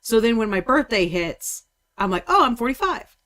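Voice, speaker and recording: A woman's voice: a little high, very animated, normal speed, a bit bright. A woman in her mid forties co-hosting a painting stream, chatting casually in a wry, blunt, joking way. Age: 40s. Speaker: co-host painting miniatures on stream. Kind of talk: casual chat.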